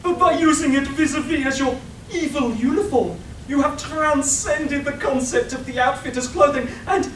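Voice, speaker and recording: A woman's voice: sits high, moderate pace, a bit bright. A woman playing a pretentious, melodramatic artificial fashion aesthete in a comic radio play. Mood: gushing. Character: overwrought constructed fashion aesthete, pompous and despairing. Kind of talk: live radio play comedy acting. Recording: echoey room, distant, faint noise.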